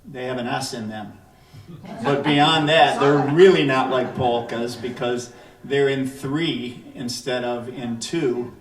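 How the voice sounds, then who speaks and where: A man making relaxed, rambling stage banter between songs at a house concert, full of restarts as he introduces a tune. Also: mid-range voice, takes it slowly. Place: living room at a house concert. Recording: roomy, distant, faint music.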